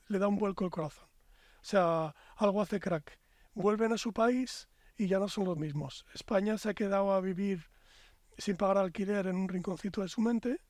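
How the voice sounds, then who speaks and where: A Spanish man with a fairly high voice who spins long, reflective arguments in a relaxed podcast chat. His voice sits close and dry, with hardly any room sound. He strings his thoughts together in flowing, expansive sentences. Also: no echo. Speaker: podcast guest. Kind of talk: casual podcast conversation.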